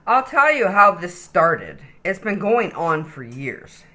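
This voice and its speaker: Woman voicing a friendly koala guy, picture-book rhyme, low voice, lively swoops, unhurried. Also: heavily acted. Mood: chatty. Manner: chatty, rhyming, mildly indignant. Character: friendly male koala telling his story. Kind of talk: children's picture-book read-aloud.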